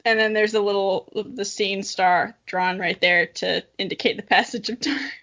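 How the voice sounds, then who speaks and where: A woman's voice: medium pitch, steady tone, moderate pace, a bit bright, dull audio. A giggly, self-mocking woman co-hosting a podcast, chatting casually and reading a story aloud. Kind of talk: casual chat and amateur dramatic reading.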